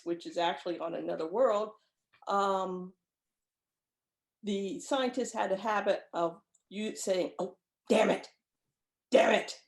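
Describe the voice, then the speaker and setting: A woman's voice: mid-range voice, gravelly. A woman author chatting casually as an interview guest, often acting out imagined lines of dialogue as she explains. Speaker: author guest discussing own short story. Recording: echoey room sound.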